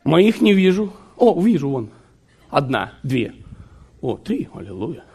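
A man preaching a sermon, joking and animated, with sudden shouts and laughter. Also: a little high, unhurried, husky.

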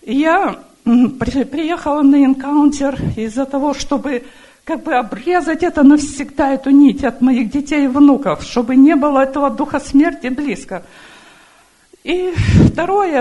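A middle-aged woman with a notably high voice gives a personal testimony before a church gathering. She speaks earnestly and haltingly, often stopping to search for the next word. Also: warm tone. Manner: earnest, halting, with many fillers. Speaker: church member giving testimony.

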